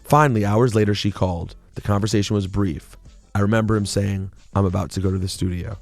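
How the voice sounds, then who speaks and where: A man's voice: lowish voice, fairly even intonation, quick pace, gravelly. A man voicing a sultry, overdramatic woman narrating a memoir of her affair, in a theatrical reading. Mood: suspenseful. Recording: music playing behind the voice.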